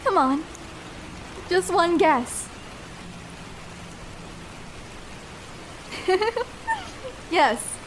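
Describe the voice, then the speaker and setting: A woman's voice: extremely high pitch, expressive, very slow, a little hiss or hum, heavily acted, rough recording. A young woman playing a shy, vulnerable escort in an intimate ASMR roleplay, speaking hesitantly and tenderly with small stammers. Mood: shyly playful.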